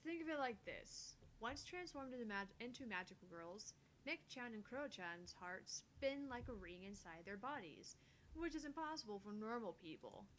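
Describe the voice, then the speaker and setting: A woman plays a young female scientist in a visual novel, with a fairly high voice. She is earnest and explanatory, stammers a little and is easily flustered. The recording carries some noise and rumble. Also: lively intonation, normal speed, fairly bright tone, poor audio. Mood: earnest explaining. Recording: faint noise with low rumble.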